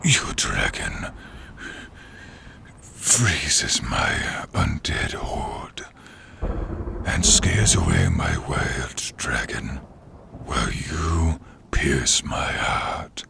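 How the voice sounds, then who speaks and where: A man voicing a contemptuous, menacing undead warlord with a gravelly, breathy rasp in a scripted fantasy roleplay. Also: very deep voice, very animated, in a whisper, full character voice. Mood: gloating menace. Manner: menacing, slow, theatrical. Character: contemptuous undead male warlord with a bitter grudge against knights.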